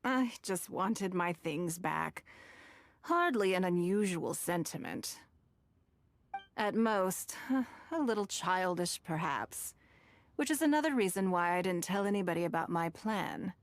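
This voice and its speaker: A woman playing a shrewd, wealthy, coolly commanding businesswoman in a video game, speaking in measured, eloquent, formal phrases. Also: lowish voice, lively intonation, no room sound. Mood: composed, wry.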